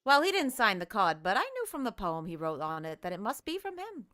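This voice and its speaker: A woman's voice: medium pitch, huge pitch swings, a little fast, a bit bright. A woman playing a fond mother telling her son a story in a vintage-style radio drama, wistful in tone.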